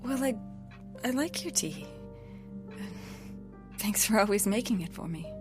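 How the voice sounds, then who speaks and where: A woman acting in an audio drama as a wry, sarcastic but warm-hearted skeptical listener, dry and teasing. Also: medium pitch, lively intonation, a little slow, music in the background, close to an everyday voice. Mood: tender.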